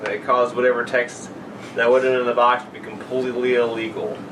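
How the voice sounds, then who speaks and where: A man's voice: medium pitch, flat delivery, a little fast. Blunt young man, distant noisy mic. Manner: blunt, crude, self-deprecating. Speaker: podcast co-host. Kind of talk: casual banter. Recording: distant mic with clear background noise.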